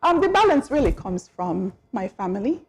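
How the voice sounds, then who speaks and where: A woman's voice: mid-range voice, sing-song, moderate pace, a little mellow, husky. A middle-aged woman, chatting as a talk-show guest and laughing often as she tells her story. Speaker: calypso singer and guest. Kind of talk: casual interview chat.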